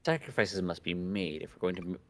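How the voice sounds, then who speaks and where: A man's voice: low voice, animated, fairly quick, dry recording. A man voicing a pompous, scheming young self-styled mad scientist from a visual novel, declaiming grandly. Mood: grandiose. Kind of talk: reading visual novel dialogue aloud.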